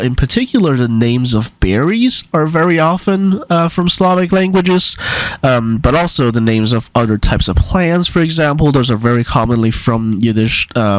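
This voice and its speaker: Guy rambling through a language explainer, lots of ums and uhs, mid-pitched voice, dry close sound. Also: dry recording, poor audio. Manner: hesitant, many fillers and restarts. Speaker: amateur linguist. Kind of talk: casual explainer vlog.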